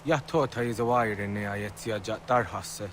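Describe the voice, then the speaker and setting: An actor playing a serious villager in dramatic dialogue from a historical video game. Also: male voice, fairly low pitch, brisk, a bit bright, a little hiss or hum, lightly acted. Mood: urgent.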